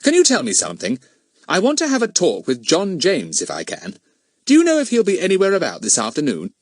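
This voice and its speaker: A man voicing a confident, cheeky boy detective in a children's storybook, brisk and well-mannered. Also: high-pitched, wildly swooping pitch, no echo, clean studio sound, pitched above the performer's own voice, exaggerated voice. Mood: friendly, inquiring.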